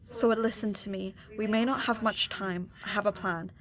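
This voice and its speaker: A woman's voice: mid-range voice, steady tone, normal speed, a bit bright, close to an everyday voice. A woman playing a composed, resolute otherworldly captive in an audio drama, speaking with purpose. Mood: grave, resolute.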